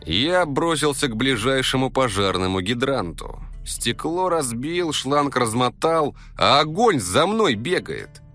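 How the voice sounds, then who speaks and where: Male storyteller, animated pitch, coarse street slang, comic first-person narration, music underneath. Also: unhurried, hardly any pauses.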